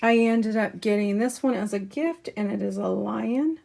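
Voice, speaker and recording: A woman talking casually through a craft haul, losing her voice a little and clearing her throat, with frequent ums as she shows each item. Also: mid-range voice, normal speed, darkish tone. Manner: chatty, many ums, showing items up close. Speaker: crafting channel host. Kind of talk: casual haul chat to camera.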